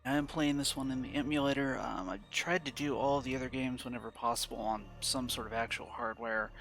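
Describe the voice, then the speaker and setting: A man's voice: mid-range voice, fairly even intonation, a little fast, a bit bright. A congested man hosting a let's play, talking in a loose, rambling way full of fillers. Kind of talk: casual game commentary.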